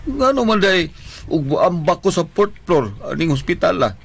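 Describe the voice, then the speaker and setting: A man's voice: high-pitched, very animated, moderate pace, vocal fry, husky, exaggerated voice. An actor playing a stubborn, sickly old widowed father in a Bisaya comedy radio drama, plaintive and melodramatic, with a Filipino accent. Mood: stubborn, dramatic. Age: older.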